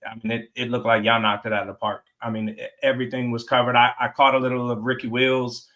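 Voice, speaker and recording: An upbeat man co-hosting a casual livestream, often laughing as he chats. His voice is bright and forceful, at an ordinary male pitch. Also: level tone, fast talker. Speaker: podcast co-host.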